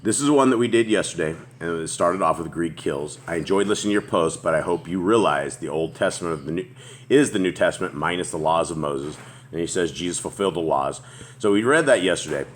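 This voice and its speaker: A man with a booming voice hosting a casual group Bible study, rambling and restarting as he reads aloud. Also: animated, quick pace, a little hiss or hum. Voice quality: deep booming voice. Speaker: host.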